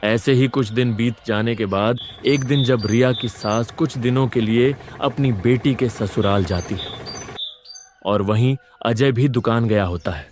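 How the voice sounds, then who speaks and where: A man's voice: mid-range voice, measured pace, slight background noise. A man reading scripted narration for a moral story as its narrator.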